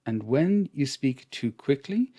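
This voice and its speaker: A man with an Irish accent, an English teacher explaining a lesson over slides in a measured, patient manner. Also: mid-range voice, sing-song, warm tone, no room sound, clean studio sound. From Ireland.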